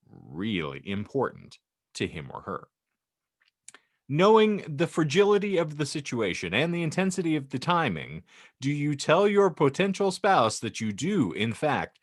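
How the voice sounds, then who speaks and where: A man's voice: fairly high pitch, no echo, clean studio sound. A man hosting a casual group podcast, speaking in a wordy, theatrical way. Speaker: podcast host. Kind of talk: casual group chat with a read-out prompt.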